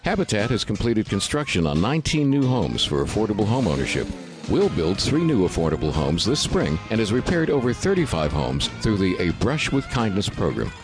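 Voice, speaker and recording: A man announcing a read radio spot with expressive, promotional delivery. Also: lowish voice, a little fast. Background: music bed.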